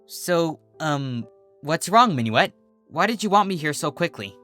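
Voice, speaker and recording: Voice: medium pitch, sing-song, measured pace, fairly bright tone, faint music behind, pitched above the performer's own voice. A performer voicing a mischievous young female cartoon unicorn, smug, playful and teasing. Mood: curious.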